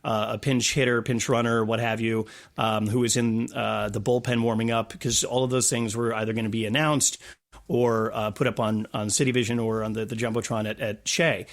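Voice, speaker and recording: A man's voice: medium pitch, little pitch movement, moderate pace. A man talking as an interview guest, telling stories casually with a playful, jokey manner. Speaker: guest, stadium PA announcer. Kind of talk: casual storytelling interview talk.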